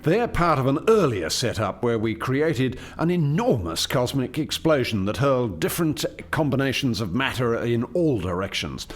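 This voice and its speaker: A man's voice: mid-range voice, sing-song, moderate pace, few pauses. An actor playing an eager, proud alien scientist who formally explains his experiment in a radio play. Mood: candid, animated. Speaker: lead scientist presenting a project. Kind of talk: radio play dialogue, expository.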